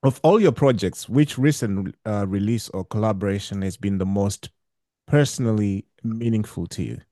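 A man hosting an interview, asking measured questions and answering with short, calm affirmations. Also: on the low side, dry recording, studio quality. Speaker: interview host.

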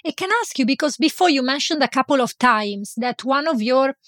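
A woman's voice: high-pitched, brisk, a bit bright, dry recording, clean studio sound. A woman interviewing a guest, articulate and asking thoughtful questions. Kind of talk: conversational interview.

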